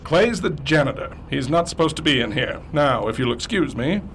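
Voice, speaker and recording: A man's voice: fairly high pitch, animated, normal speed. Irritated professor man, noisy recording. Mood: irritated, curt. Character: gruff, irritated observatory director, a male professor. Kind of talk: 1930s radio play spoof acting. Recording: noisy, off-mic radio scene.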